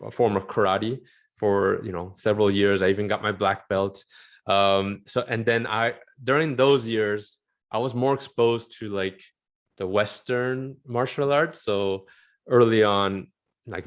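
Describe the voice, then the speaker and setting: A man in his forties with a low voice talks casually as an interview guest. He rambles in an animated way and laughs often. He grew up in Southern California. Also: a little slow. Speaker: interview guest, film concept artist.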